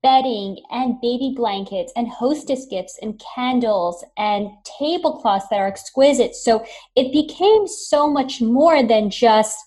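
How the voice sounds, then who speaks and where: Lady podcast host chatting with a guest, a bit high pitched. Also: animated, measured pace, a little mellow. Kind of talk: interview questions.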